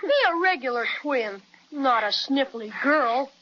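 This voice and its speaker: Very high, excitable little boy. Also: measured pace, slight background noise, heavily acted. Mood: excited, blunt. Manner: gee-whiz, blunt. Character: spirited, excitable young boy, a twin. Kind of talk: old-time children's radio serial acting. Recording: dull, band-limited old radio recording.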